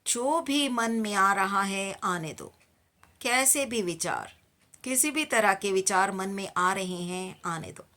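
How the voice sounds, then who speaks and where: A woman teaching guided meditation, giving calm, repeated instructions in a soothing manner. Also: mid-range voice, slow.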